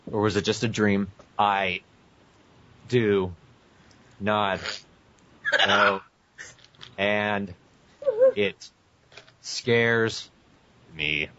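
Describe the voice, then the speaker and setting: A man's voice: on the low side, extremely slow, long pauses, bright, forceful tone, slight background noise. A man co-hosting a casual podcast, jokingly reading aloud with mock drama and prone to breaking into laughter. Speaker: podcast co-host. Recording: muffled lo-fi podcast audio.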